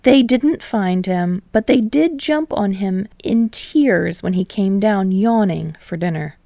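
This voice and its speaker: Slow, creaky-voiced woman narrating a story. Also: mid-range voice, mellow, no room sound, clean studio sound. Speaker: podfic narrator and channel host.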